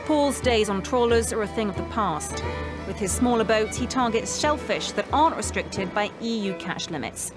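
A woman's voice: a little high, animated, normal speed. A woman reporter reading scripted narration for a news documentary. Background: background music.

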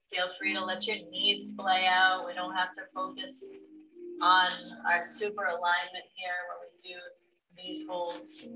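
A woman guiding a yin yoga class from a studio room, giving gentle instructions and affirmations. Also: fairly low pitch, roomy sound. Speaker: yoga teacher. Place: large studio room with a gong. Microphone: far camera. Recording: distant mic, music behind.

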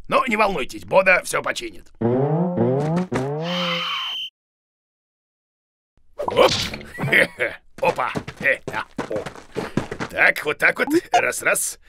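Animated, creaky, silly cartoon creature. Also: male voice, on the high side, slow, a little hiss or hum, full character voice. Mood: puzzled, busy. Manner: exclaiming, muttering, bumbling, theatrical. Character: silly, boastful, excitable bearded male cartoon creature, clueless teacher. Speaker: main character of a children's series. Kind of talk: animated children's cartoon voice acting.

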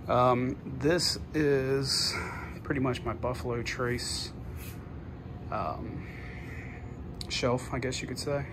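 A man casually narrating a walk-through tour of his basement game room, offhand and pausing often. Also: medium pitch, fairly even intonation. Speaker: homeowner giving a video tour. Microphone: handheld phone, behind the camera. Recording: noisy indoor phone video.

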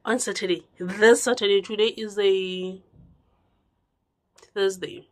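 A congested young woman chatting to camera in a small room, her voice rising and then dropping to a low murmur. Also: fairly even intonation. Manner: chatty, with drawn-out words and sudden drops to a low murmur. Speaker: vlogger. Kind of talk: casual direct-to-camera chat.

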